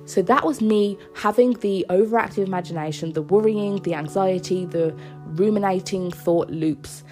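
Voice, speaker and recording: A woman's voice: medium pitch, normal speed, warm tone, soft background music. A young woman with a cold and a stuffy nose, hosting a casual solo podcast in an earnest, reassuring way. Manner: earnest, reassuring, with small laughs. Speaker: podcast host.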